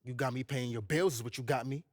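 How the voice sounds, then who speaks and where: A man's voice: mid-range voice, fairly even intonation, quick pace, no echo, clean studio sound, lightly acted. An actor playing a snide, bossy, easily irritated male heckler in comic video game banter.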